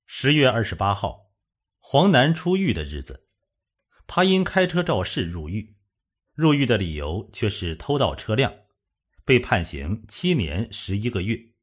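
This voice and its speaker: A man reads a suspense novel as an audiobook narrator. His voice sits fairly low and has a rough, husky grain. The delivery is scripted storytelling with lively, wide-moving intonation. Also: unhurried.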